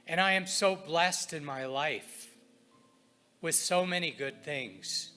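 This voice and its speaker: Male devotional speaker, bright and forceful tone, unhurried reverent phrasing with deliberate pauses, for service readings and prayer. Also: fairly high pitch. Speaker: spiritual practitioner leading readings and prayer at a service. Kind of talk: inspirational readings and spoken prayer.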